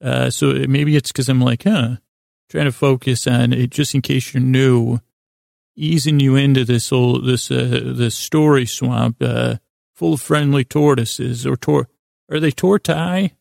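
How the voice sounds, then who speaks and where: A man hosting a bedtime podcast in a creaky, gravelly voice, rambling and halting, repeating himself and wandering into absurd asides. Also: medium pitch, fairly even intonation, a little mellow, no echo, studio quality. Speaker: podcast host. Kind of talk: rambling bedtime monologue to help listeners sleep.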